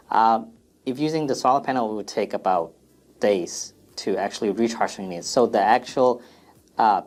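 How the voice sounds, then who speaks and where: A man presenting an informal product FAQ demonstration in a plain, step-by-step instructional way. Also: fairly low pitch.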